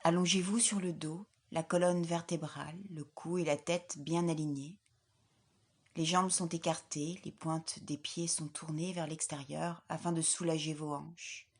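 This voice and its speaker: A woman leading a guided yoga nidra relaxation as an instructor, in a calm, soothing, measured way with soft, breathy phrase endings. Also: sits low. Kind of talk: guided relaxation meditation, scripted.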